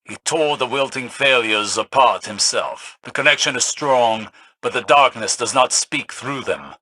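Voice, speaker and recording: A man's voice: medium pitch, measured pace, bright, forceful tone, slightly rough. A man acting a studious, solemn companion spirit in a video game, speaking precisely and broodingly like an erudite investigator. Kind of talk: dramatic video game dialogue.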